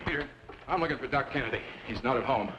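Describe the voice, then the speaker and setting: A man's voice: mid-range voice, fast, a bit bright, soft background music, close to an everyday voice. An actor playing a blunt, argumentative frontier townsman in an old-time radio western. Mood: urgent. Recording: muffled vintage radio recording, faint noise.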